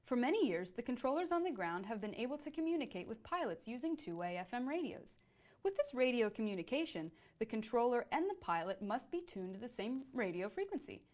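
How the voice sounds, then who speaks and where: A woman's voice: fairly high pitch, sing-song, brisk, darkish tone. A woman presenting a scripted educational explainer.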